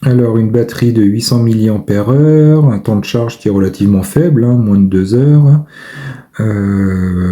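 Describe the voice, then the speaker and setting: A man giving casual product commentary, thinking aloud with hesitant pauses. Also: fairly low pitch, warm tone. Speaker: amateur video maker reviewing a purchase.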